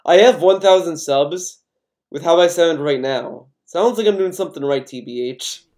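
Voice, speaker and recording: An American man from Connecticut with a voice pitched a little high, ranting in a casual vlog. He rambles, swears freely and sounds defensive as he circles back over his points. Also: moderate pace, a little mellow. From Connecticut, USA. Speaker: YouTuber.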